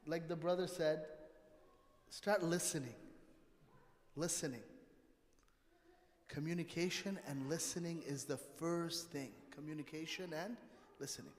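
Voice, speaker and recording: A middle-aged man with a fairly high voice gives an informal religious talk in a reverberant hall. He grew up in Canada and speaks emphatically, in short repeated phrases broken by pauses. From born in Pakistan, grew up in Canada. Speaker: religious teacher at a mosque. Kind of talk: informal sermon to a congregation. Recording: reverberant hall, long echo.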